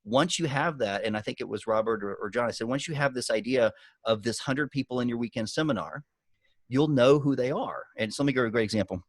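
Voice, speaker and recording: A man coaches a group over a video call in a medium-pitched voice. He speaks conversationally, stressing his points and slipping into anecdotes. The sound is close and dry. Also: quick pace, no echo. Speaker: guest business coach and author. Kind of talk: giving marketing advice on a group video call.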